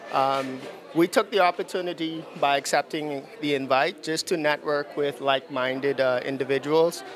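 A man in his thirties from the Bahamas, introducing his capital raising firm in an event interview on a handheld mic. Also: on the high side. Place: busy indoor event, press backdrop.

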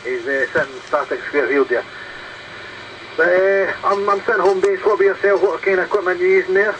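Heavy radio noise surrounds a Scottish man with a fairly high-pitched voice. He chats casually over CB, repeating phrases and tagging on a friendly-sized "eh". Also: brisk, lo-fi sound. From Scotland. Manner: chatty, repeats himself, tags sentences with "eh". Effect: heard over CB radio. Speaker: amateur CB radio operator. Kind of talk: casual two-way radio chat. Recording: very noisy CB radio transmission. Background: loud radio static and hiss.

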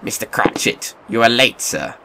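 An actor playing a reformed elderly miser, now a kindly and apologetic gentleman, with formal old-fashioned courtesy in an audio drama. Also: mid-range voice, expressive, normal speed, gravelly, background noise. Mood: mock stern.

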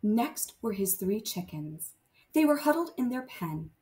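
A woman teaching primary math to young children, reading aloud and explaining deliberately with sing-song emphasis on key words. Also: medium pitch, sing-song, mellow, reverberant.

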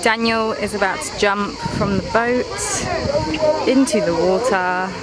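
A young man gives slow, teasing commentary while filming a friend from a boat deck. His voice sits a little high, and loud outdoor noise runs under it.

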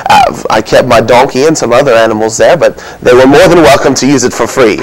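A man's voice: medium pitch, a little fast, close to an everyday voice, rough recording. A man performing a comic monologue as a flustered, defensive innkeeper from biblical Bethlehem, rambling indignantly through his excuses. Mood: exasperated.

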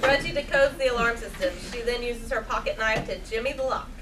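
A woman's voice: on the high side, fairly quick. A woman reading scripted stage directions at a live table read. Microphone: far camera microphone. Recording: distant echoey noisy stage recording.

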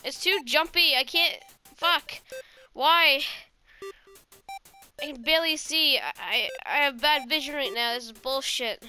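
High, bright-voiced female gamer. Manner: rambling, frequently swearing. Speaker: gaming video host. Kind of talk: casual let's play commentary.

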